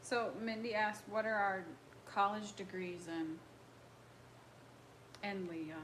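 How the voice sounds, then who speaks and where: A woman in her thirties co-hosting a casual live chat, talking quietly and with a dry wit. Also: mid-range voice, takes it slowly, slight background noise. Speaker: co-host.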